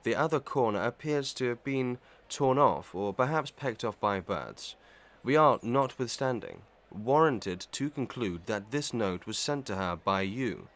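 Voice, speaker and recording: An actor playing a stern, impartial magistrate in a Victorian melodrama, speaking in a formal, deliberate, official manner. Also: male voice, mid-range voice, animated, fairly bright tone. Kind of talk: Victorian melodrama audio play acting.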